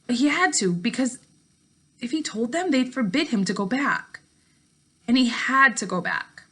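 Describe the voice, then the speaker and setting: A woman teacher reading a story aloud to her class in an expressive way, with casual remarks. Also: a little high, moderate pace, husky.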